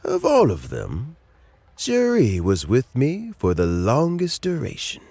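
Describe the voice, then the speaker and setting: A man playing an elderly, measured mentor and statesman in a game, speaking in a deliberate, thoughtful, avuncular way. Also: medium pitch, wildly swooping pitch, vocal fry, a little mellow, exaggerated voice. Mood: reflective. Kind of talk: game voice acting.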